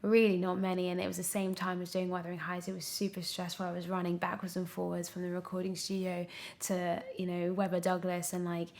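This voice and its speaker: A young woman chatting casually as an interview guest, telling her story in an animated, expressive way. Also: on the low side, a little fast, few pauses. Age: young adult. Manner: animated storytelling, acts out her own past thoughts. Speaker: podcast guest, radio drama actor.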